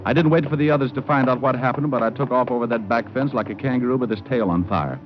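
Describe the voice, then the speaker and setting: An actor playing a cool, wisecracking private detective, narrating with dry wit in hardboiled old-time radio style. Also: male voice, mid-range voice, fast talker, close to an everyday voice. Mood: wry, cool. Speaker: lead and narrator. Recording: dull old radio recording. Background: music.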